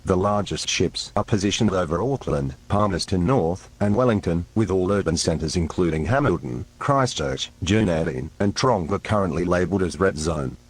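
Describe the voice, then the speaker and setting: A man reading a formal emergency broadcast announcement in a measured, official, impersonal manner. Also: sits low, darkish tone, slightly rough.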